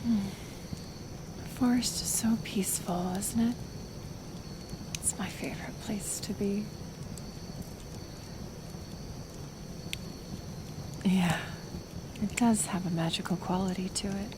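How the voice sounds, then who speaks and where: A woman playing a kind, stubborn healer of the woods in an intimate roleplay audio, speaking softly and hesitantly, with soothing pauses. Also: mid-range voice, steady tone, background noise, lightly acted. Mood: hushed, serene. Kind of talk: roleplay audio acting, intimate one-sided dialogue.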